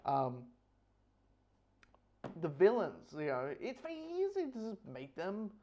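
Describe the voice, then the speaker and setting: A man with a somewhat high-pitched voice talks through a casual review. He rambles and halts, restarting phrases and repeating himself as he goes. The recording is dull and lo-fi. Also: wildly swooping pitch, a little mellow. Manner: halting, false starts, repeats himself. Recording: dull lo-fi microphone sound.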